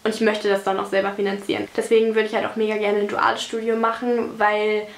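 A woman's voice: medium pitch, monotone, quick pace, gravelly, roomy sound. A young woman vlogging from her bedroom, chatting casually and rambling with lots of fillers while she bakes. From Germany. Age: young adult. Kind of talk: casual chat while baking. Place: bedroom at home, indoors.

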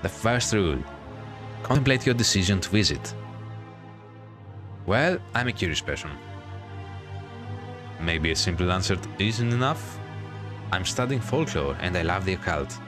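Very deep-voiced man reading over music. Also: animated, measured pace, pauses often. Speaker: gaming video host. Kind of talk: reading a visual novel aloud with casual commentary.

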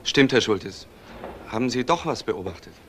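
A man's voice: mid-range voice, animated, normal speed, fairly bright tone, a little hiss or hum, natural voice. A man playing a correct, factual police detective in a German crime radio drama. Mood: inquiring. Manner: formal, factual.

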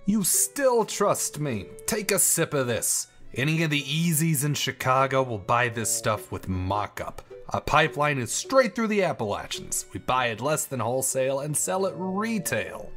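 A man's voice: medium pitch, big pitch swings, normal speed, few pauses, fairly bright tone, soft background music. A man playing a persuasive, insistent bootlegger henchman in an audio drama. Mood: coaxing. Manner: coaxing, insistent.

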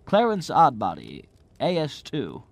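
A man playing a gentle, naive old angel in a radio drama, earnest, quaint and a little prim. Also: a little high, huge pitch swings, a little slow, full character voice. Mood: gently insistent.

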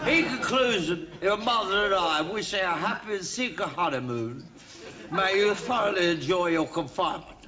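A man's voice: high-pitched, animated, normal speed, a crowd in the background. A tipsy rambling father of the bride. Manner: slurring, stumbling over words, self-correcting malapropisms. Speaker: bride's father giving a wedding toast. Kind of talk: 1980s TV sketch comedy. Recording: dull old TV sketch with loud audience laughter. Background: studio audience laughing.

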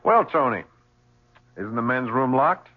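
Dull-sounding seventies radio drama, with a shrewd police lieutenant. His husky voice moves freely in pitch as he needles and insinuates. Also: expressive, a little slow. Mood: needling. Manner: probing, insinuating. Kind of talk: 1970s radio drama acting. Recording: muffled 1970s radio drama recording.

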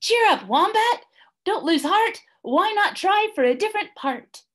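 A woman's voice: extremely high pitch, animated, unhurried, fairly bright tone, voice pushed up, exaggerated voice. A woman voicing a kindly, encouraging little animal friend in a storybook, with a singsong delivery. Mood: consoling, encouraging. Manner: singsong, consoling.

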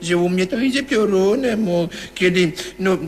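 Man acting a high-voiced doddery old grandfather. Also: normal speed, natural voice. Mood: chatty, proud. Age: older. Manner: rambling, stammering, repeats himself. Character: confused, dozy, polite old grandfather. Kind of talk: satirical radio comedy acting.

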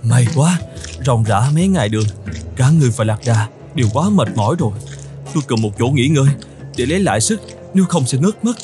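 A man voicing a weary, hungry and fearful caravan merchant in an animated fairy tale, complaining fretfully. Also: medium pitch, fast talker, soft background music, lightly acted.

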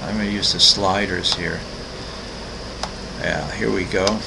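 A man in his sixties, an artist walking through his website, talking casually with many ums, pauses and restarts. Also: low voice, fairly even intonation, gravelly, background noise. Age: 60s. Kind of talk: casual screen-recorded walkthrough.

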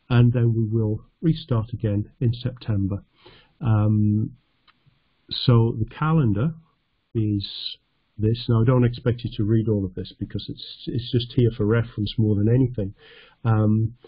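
Slow husky man teaching. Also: fairly low pitch, no echo, muffled recording. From North Yorkshire, England. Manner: halting, conversational, self-deprecating. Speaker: English teacher. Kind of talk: online lecture over slides.

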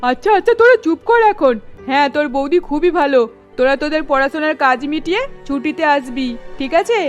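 A woman's voice: high-pitched, lively intonation, quick pace, dull audio, soft background music. A woman voicing an emphatic, middle-aged mother-in-law in a melodramatic cartoon, exclaiming as she talks. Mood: fond, exasperated. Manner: emphatic, exclaiming, scolding or gushing. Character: scolding middle-aged Bengali mother-in-law, easily won over.

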